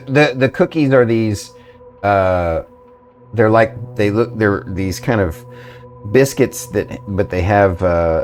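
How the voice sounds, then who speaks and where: A man with a very low voice runs a tabletop game and describes the scene in a loose, rambling way. Clear music plays under his voice. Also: a little mellow. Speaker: game master. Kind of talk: running a tabletop game stream.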